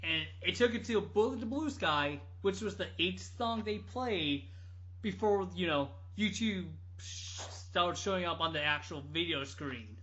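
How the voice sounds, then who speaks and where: A man telling a story casually on a podcast, halting and rambling as he goes. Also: high voice, animated, sharp tone. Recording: dull lo-fi audio.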